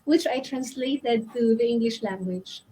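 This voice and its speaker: Filipino woman author, mellow voice. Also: fairly high pitch, a little slow, poor audio. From Philippines. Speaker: author on a panel. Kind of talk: panel discussion answer.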